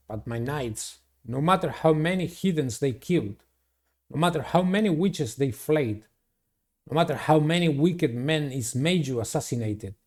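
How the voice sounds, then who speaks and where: A man voicing a grave, regretful vampire warlord in a gothic game, solemn and measured. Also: a little high, a little mellow.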